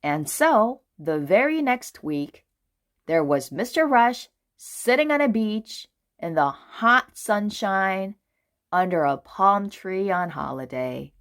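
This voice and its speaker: Woman, children's book narrator, unhurried pace, lively melodic pitch, dramatic phrasing. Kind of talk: expressive storybook narration for children.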